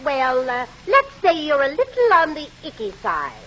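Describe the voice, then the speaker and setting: An actress playing a droll, slightly pretentious middle-aged aunt in 1940s radio comedy, deliberate and teasing. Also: female voice, sits high, huge pitch swings, pushed and bright, exaggerated voice. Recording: dull, hissy vintage radio broadcast.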